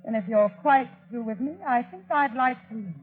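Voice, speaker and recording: An actress playing a tough, accusing young woman with a sharp, defiant manner in an old radio drama. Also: fairly high pitch, fairly quick, natural voice. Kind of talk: 1940s radio acting. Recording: tinny phone-like old radio recording.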